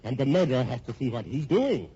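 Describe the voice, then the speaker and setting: A man's voice: mid-range voice, very animated, quick pace, warm tone, husky. A man playing a vengeful, gloating schemer in an old-time radio thriller, theatrical, menacing and taunting. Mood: coldly menacing. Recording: dull, noisy old radio recording.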